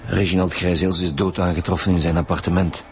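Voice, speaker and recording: An actor playing a dry, businesslike homicide chief inspector in a radio drama, questioning formally with a Flemish accent. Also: male voice, deep voice, little pitch movement, a little fast, faint music behind, natural voice. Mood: formal, probing.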